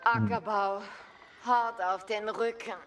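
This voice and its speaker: A woman's voice: medium pitch, steady tone, takes it slowly, a bit bright. An actress playing a hard-working medieval villager woman in short scripted game lines. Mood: hearty. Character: hard-working medieval female villager who farms fields. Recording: game audio with faint music.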